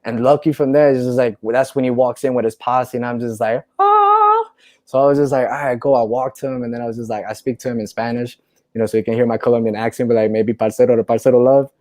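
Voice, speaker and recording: A man's voice: medium pitch, fast, no echo, clean studio sound. Easygoing man telling a rambling story. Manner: relaxed, rambling storyteller, says "you know" and "right?" a lot. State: a little tipsy from wine. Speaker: clothing brand founder, guest. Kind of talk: casual conversation.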